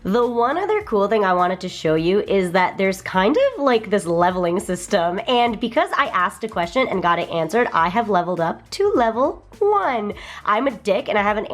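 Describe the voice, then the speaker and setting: A young woman vlogger, bubbly and enthusiastic, chatting casually through an app walkthrough. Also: medium pitch. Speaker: vlogger reviewing a language app.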